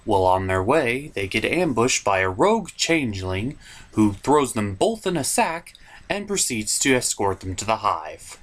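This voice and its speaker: A man's voice: lowish voice, very animated, unhurried, a bit bright. A man narrating a scripted comedic review as host, in a sarcastic, theatrical way.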